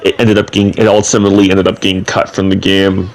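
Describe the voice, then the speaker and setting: Young guy w a cold doing chill game commentary, low gravelly voice, kinda rambly. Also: monotone, quick pace. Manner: rambling, chatty, shares trivia asides. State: slight head cold. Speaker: gaming channel host. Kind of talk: casual gameplay commentary.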